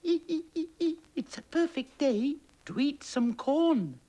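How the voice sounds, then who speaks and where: A tiny squeaking mouse. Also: male voice, expressive, measured pace, mellow, slight background noise. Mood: squeaky. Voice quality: very high squeak.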